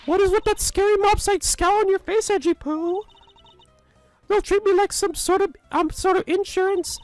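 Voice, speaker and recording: A performer voicing a clingy, dramatic old woman in a fan dub of a game, shrill and gushing. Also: steady tone, normal speed, no room sound. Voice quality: shrill, high and loud. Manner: gushing, scolding, rambling.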